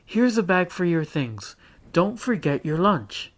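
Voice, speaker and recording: A man voicing a caring, practical mother in a storybook read-aloud, raising his voice gently. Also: high voice, big pitch swings, unhurried, pitched above the performer's own voice, exaggerated voice.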